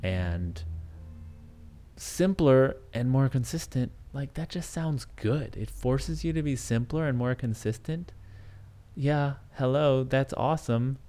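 A man's voice: medium pitch, lively intonation, slow, warm tone. A man hosting a solo podcast, talking things through in a thoughtful, hesitant way with many restarts and fillers. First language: English. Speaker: podcast host.

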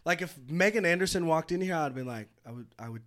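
A young man hosts a loose podcast chat with a somewhat high voice. He tells animated, jokey stories packed with swearing. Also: fast talker. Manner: joking, profane, animated storytelling. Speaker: podcast host.

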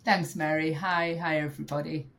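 A woman's voice: sits low, steady tone, normal speed, creaky, fairly bright tone. A British woman guest with a head cold that makes her sound nasal, playfully quizzing her hosts on slang in a podcast chat. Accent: British English. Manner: playful, teasing with slang quiz. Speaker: guest, regional sales executive. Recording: faint background noise.

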